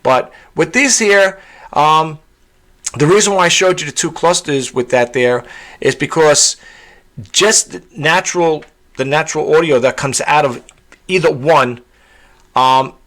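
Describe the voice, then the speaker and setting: A man with a self-described monotone voice giving a casual, rambling tutorial vlog, full of fillers and restarts. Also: fairly high pitch, unhurried, long pauses. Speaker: content creator.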